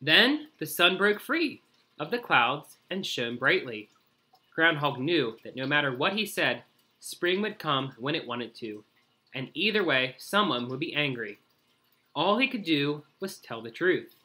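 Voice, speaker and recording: A man narrating a children's storybook aloud in an expressive read-aloud style. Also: fairly high pitch, a little slow, poor audio. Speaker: story reader.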